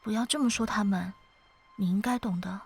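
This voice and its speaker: A woman playing an ageless, gentle and wistful ferrywoman of souls in an audio drama, speaking in a reflective, poetic way with a quiet teasing edge. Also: mid-range voice, fairly quick, gravelly, breathy edge. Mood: calm, teasing. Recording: studio voice over background music.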